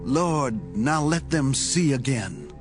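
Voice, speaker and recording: Measured prophet with authority, middle pitch, bible audio drama, music playing under. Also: male voice, sing-song. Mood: prayerful. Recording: music behind the voice.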